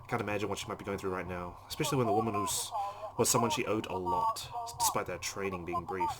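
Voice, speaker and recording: A man reads visual novel narration aloud as a let's play host. His voice is a little low and he moves along quickly, dropping in asides. Loud noise runs behind him throughout. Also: big pitch swings.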